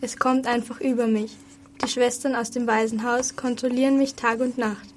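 A troubled orphan girl of thirteen, played by a schoolgirl in an amateur radio play. Her voice is very high and young, with faint noise behind it. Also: flat delivery, moderate pace, lightly acted. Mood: troubled, confiding. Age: child pupil, about 8th grade or younger.

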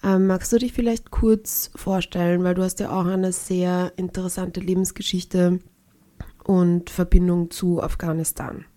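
A woman's voice: fairly low pitch, little pitch movement, a little slow, dark tone, dry recording. A woman, a radio host who reads a scripted introduction and then asks interview questions in a plain, matter-of-fact way, with a few small slips.

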